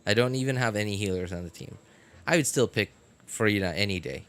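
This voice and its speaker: A man's voice: on the low side, fairly quick. Rambling man chatting on a game stream. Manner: rambling, filler-heavy, animated opinions. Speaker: gaming streamer. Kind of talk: casual live-stream chat.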